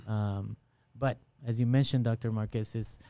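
A middle-aged man hosting a conversation, relaxed and affirming as he listens and speaks. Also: medium pitch, measured pace, a little hiss or hum. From Guatemalan background. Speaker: podcast host. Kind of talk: casual conversation.

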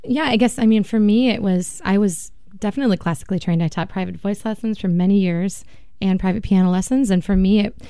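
A woman's voice: mid-range voice, fairly quick, mellow, clear, resonant tone, no echo, studio quality. A woman from Minnesota, lead singer and pianist in a band, talking casually and laughing often in a radio interview. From Minnesota, USA. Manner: chatty, laughs often, restarts sentences.

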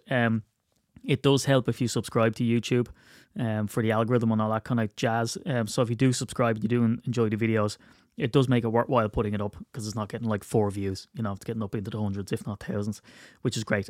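A man's voice: medium pitch, dry recording. Male podcast host, stuffy cold, rambling self-mocking chat, dry close mic. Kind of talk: casual solo podcast chat.

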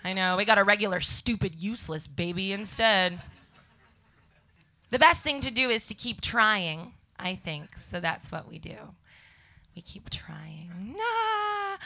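Scratchy-voiced Canadian woman doing stand-up. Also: medium pitch. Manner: deadpan one-liners to a crowd. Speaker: stand-up comedian guest. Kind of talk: stand-up set on a live podcast.

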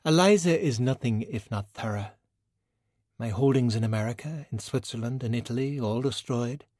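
A man voicing a poised, beautiful woman in an audiobook, speaking in measured, formal phrases with proud, wry self-pity. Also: medium pitch, mellow, dry recording, studio quality, close to an everyday voice. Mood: quietly bitter. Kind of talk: audiobook character dialogue.

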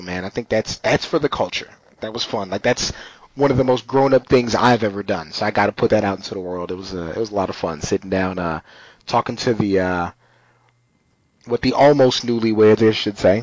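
A man's voice: lowish voice, dry recording. A man hosting a podcast, chatting casually in an informal, slangy way. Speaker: podcast host. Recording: dull, lo-fi podcast audio.

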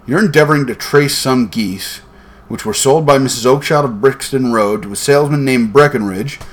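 A man voicing a shrewd gentleman detective in a storybook, bland, measured and confident. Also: mid-range voice, voice pushed up, close to an everyday voice. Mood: assured.

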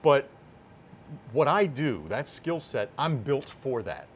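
A middle-aged or older man, a sports announcer, talking as a guest in a casual interview with emphatic, deliberate phrasing. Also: medium pitch, big pitch swings, slight background noise. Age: 50s or older.

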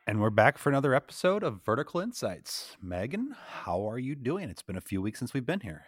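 A man co-hosting a business podcast, chatting in a relaxed way with some fillers. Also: medium pitch, brisk. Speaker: podcast co-host. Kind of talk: casual podcast chat.

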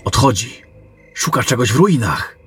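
A man playing a general's cheeky, eager, hungry sidekick in a fantasy audio drama, with a raspy voice. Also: mid-range voice, big pitch swings, moderate pace, a bit bright, soft background music. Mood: wary.

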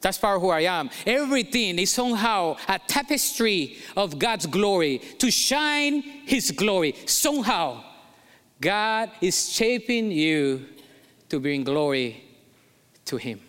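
A man's voice: high-pitched, sing-song, takes it slowly, fairly bright tone, smooth, in a large hall. A pastor from Colombia preaching a sermon in English with a Colombian Spanish accent, emphatic and repeating his key phrases.

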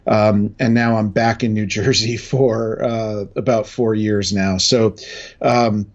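A middle-aged American man from New England speaking in a halting, reflective way as a guest in a casual interview. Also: lowish voice, little pitch movement, a little mellow, dull audio. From New England, United States. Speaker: interview guest, industry analyst.

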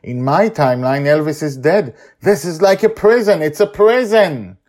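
A man's voice: fairly high pitch, lively intonation, moderate pace, darkish tone, pitched above the performer's own voice, lightly acted. A man voicing a distressed man lost out of his own time, in a storybook reading, anxious and insistent. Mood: alarmed.

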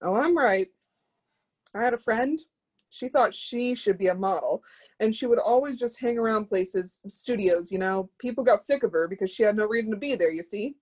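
A woman's voice: mid-range voice, moderate pace, darkish tone, close to an everyday voice, poor audio. An actress playing a blunt, world-weary woman in a play reading, with a dry, clipped, colloquial delivery. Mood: bossy, dry.

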